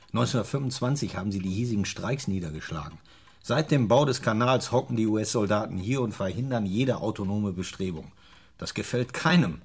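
An actor in a radio drama playing a glib, self-assured British embassy official, briefing fluently. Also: male voice, low-pitched, husky, full character voice.

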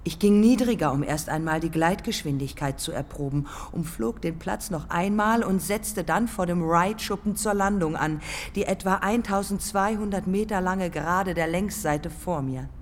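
An actress playing a proud, defiant dead pioneer aviator in a German radio drama, speaking in measured, matter-of-fact phrases. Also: female voice, lowish voice, big-room sound, a little hiss or hum, close to an everyday voice. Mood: calm recollection. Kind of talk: German public radio drama, lyrical dialogue.

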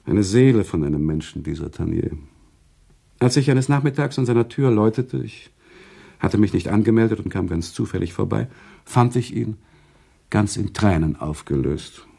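Thoughtful male storyteller, radio play narration. Dark husky voice, lively intonation, deliberate, sympathetic. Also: fairly low pitch, heavily acted. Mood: engaged.

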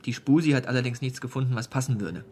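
An actor in a radio crime drama playing a matter-of-fact police detective with a dry, persistent manner. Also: male voice, mid-range voice, fast talker, natural voice.

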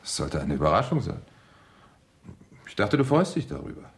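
Deep-voiced, evasive husband. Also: male voice, big pitch swings, normal speed. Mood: coaxing, evasive. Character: evasive, placating husband with a guilty secret. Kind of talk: radio crime drama acting.

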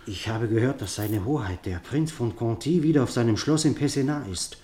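An actor as a mellow, sober troupe bookkeeper. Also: male voice, mid-range voice, expressive, normal speed, lightly acted. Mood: matter-of-fact. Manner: matter-of-fact. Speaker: actor in a radio play. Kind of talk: German radio drama acting.

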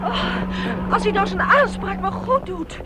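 Casting: plaintive medieval harpist woman, extremely high voice, children's radio drama, loud background noise. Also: lively intonation, slow, heavily acted. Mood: fretful. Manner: plaintive, fretful, exclaiming. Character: anxious, complaining woman harpist in a medieval minstrel troupe. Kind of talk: radio play acting for children. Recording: very noisy old radio drama recording.

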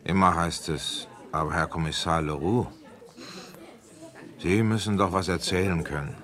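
A man playing an unhurried police inspector who tells his story in a tavern, in a 1970s German radio play, with deliberate delivery. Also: deep voice, a bit bright. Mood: unhurried, wry. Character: leisurely French police inspector yarning in a tavern.

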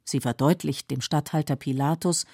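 A woman narrating a scripted literary radio feature in a measured, explanatory manner. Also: deep voice, steady tone, vocal fry, dark tone, husky, no room sound, studio quality. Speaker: documentary narrator.

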